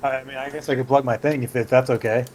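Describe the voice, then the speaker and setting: Man, podcast guest, hesitant, stop-start explaining, medium pitch, faint hiss. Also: fairly even intonation, quick pace, darkish tone. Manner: hesitant, many fillers and restarts, trails off. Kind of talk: casual chat.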